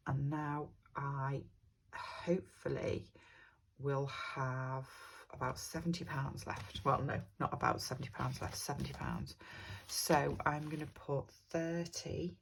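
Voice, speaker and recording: A British woman with a croaky voice from a cold, chatting casually about her budget in a rambling way. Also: low voice, noisy background. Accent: British English. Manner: chatty, rambling, with frequent ums. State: croaky from a cold, sore throat. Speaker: budgeting vlogger. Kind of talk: casual talk to camera.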